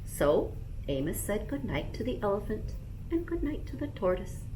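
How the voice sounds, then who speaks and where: A woman, a school librarian, reading a bedtime story aloud to young children in an expressive, animated manner. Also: medium pitch, normal speed, a little hiss or hum, poor audio.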